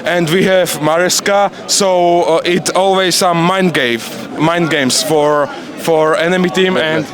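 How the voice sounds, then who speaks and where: A male football fan in a casual street interview, searching for words in a halting, giggly way. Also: a little high, fairly even intonation. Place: outdoors outside a stadium. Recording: loud outdoor crowd noise.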